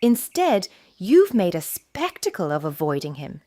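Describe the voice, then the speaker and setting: Woman playing a stern, animated Roman mother. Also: lowish voice, measured pace, dry recording. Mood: reproachful. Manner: commanding, pointed. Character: stern, practical Roman mother, firm and reproachful. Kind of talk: historical audio drama acting.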